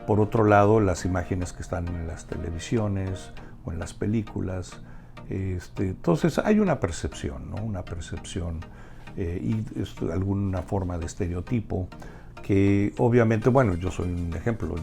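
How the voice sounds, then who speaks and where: A man with a Mexican accent answering in a conversational interview, haltingly repeating words as he searches for his phrasing. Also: deep voice, creaky, warm tone, soft background music. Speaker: interview guest, restaurateur and community organization member.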